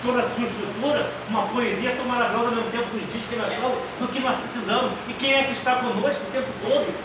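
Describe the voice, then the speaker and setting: A Brazilian man in his fifties delivers a fervent seminary lesson, pressing his listeners with rhetorical questions and personal testimony. His voice sits high and rings through a very echoey room. It is caught from a distance, with steady background noise. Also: fast talker. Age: 50s. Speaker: seminary teacher. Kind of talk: preaching lecture on the Psalms. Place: classroom.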